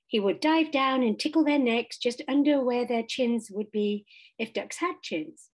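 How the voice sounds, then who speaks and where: Higher-pitched woman reading a storybook. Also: darkish tone, poor audio. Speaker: audiobook reader. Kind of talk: expressive storybook narration.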